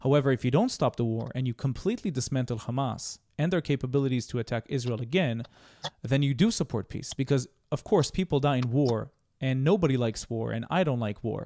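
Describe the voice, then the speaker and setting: Man, street interviewer, rapid and pushy in debate, dry close sound. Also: medium pitch, expressive, warm tone, dry recording. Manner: insistent, interrupts, repeats himself.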